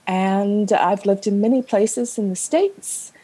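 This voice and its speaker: A woman in her fifties from Vietnam, Vietnamese her first language, speaks slowly and deliberately in a remote interview. Her voice is husky and mellow, with frequent fillers and pauses. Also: medium pitch, low-quality recording. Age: 50s. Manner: slow, thoughtful, many fillers. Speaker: guest, novelist.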